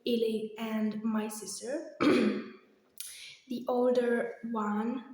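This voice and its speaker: Heavy room echo and long reverb surround a young woman's slightly hoarse voice. She talks at a slow, hesitant pace, rambling casually like someone giving a personal vlog update. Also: fairly high pitch, little pitch movement, a little mellow. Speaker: vlogger. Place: echoey indoor room. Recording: very echoey, reverberant empty room.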